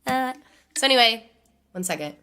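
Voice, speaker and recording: A woman musician with a hoarse voice from laryngitis, chatting casually and rambling as she answers her livestream viewers. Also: on the high side, animated, moderate pace, bright, forceful tone. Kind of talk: casual chat with stream viewers.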